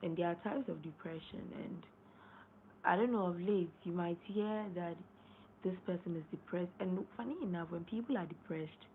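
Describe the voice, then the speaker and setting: Hoarse young woman on a tinny live stream. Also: on the low side, moderate pace. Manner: rambling, repeats herself, clears throat often. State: losing her voice, hoarse. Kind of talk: casual live-stream talk. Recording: tinny phone-line sound, faint noise.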